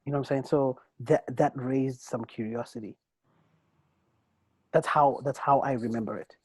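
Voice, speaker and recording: Guy with a soft husky voice just rambling on a podcast, lots of bro and restarts, dry sound. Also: medium pitch, steady tone, no room sound, low-quality recording. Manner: rambling and halting, many restarts, peppered with "bro" and "you know what I'm saying". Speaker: co-host. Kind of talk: casual podcast chat.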